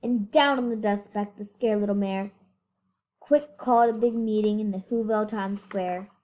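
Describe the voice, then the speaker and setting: A female reader giving an animated children's picture book read-aloud in rhyme. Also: medium pitch, moderate pace, highs cut off. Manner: animated rhyming delivery with whispered asides. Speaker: story reader.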